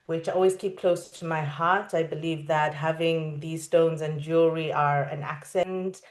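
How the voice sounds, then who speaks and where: A woman yoga teacher introducing herself earnestly on an online panel, seated indoors. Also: on the low side. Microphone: video call, connection breaking up.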